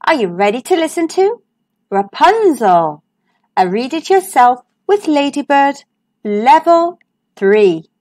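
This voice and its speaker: A woman host reading a children's picture book aloud in a slow, sing-song storytime voice, recorded as a close voice-over. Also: sits high, big pitch swings, darkish tone.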